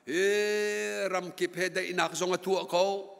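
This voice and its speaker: A man's voice: sits high, level tone, unhurried, creaky, a bit bright. A middle-aged man preaching a sermon from a pulpit microphone in a large church hall, rising to emphatic passages. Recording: long church hall reverb.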